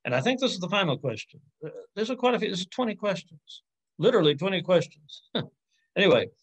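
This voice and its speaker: A man's voice: high-pitched, big pitch swings, fast, husky, lo-fi sound. A man in his forties speaking as an academic lecturer in an informal question-and-answer talk on a video call, hesitantly thinking aloud and often rephrasing mid-sentence.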